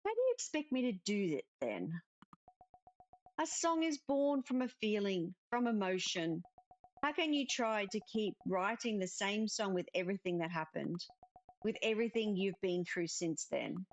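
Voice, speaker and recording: A woman's voice: medium pitch, sing-song, moderate pace, faint music behind. A woman reading the part of a dead brother's earnest, emotional spirit in a visual novel, emphatic and pleading. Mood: earnest, challenging.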